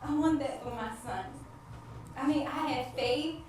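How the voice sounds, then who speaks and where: An actress playing a devout wife who struggles with infertility, anguished yet hopeful, in an emphatic stage monologue with repeated phrases and dramatic pauses. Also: female voice, high-pitched, a little mellow. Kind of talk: theatrical stage monologue addressed to the audience. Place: stage play in a large echoey room. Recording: echoey hall from far off, background noise.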